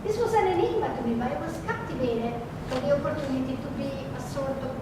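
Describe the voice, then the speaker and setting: A high-voiced woman with a strong Italian accent tells personal stories about her research work. She pauses often on hesitant fillers, and her voice comes from far off, echoing through a large hall over steady background noise. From Italy. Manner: hesitant, frequent "uh" fillers, lively storytelling. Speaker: marine biologist giving a talk. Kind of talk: personal storytelling talk. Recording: echoey hall, distant mic, background noise.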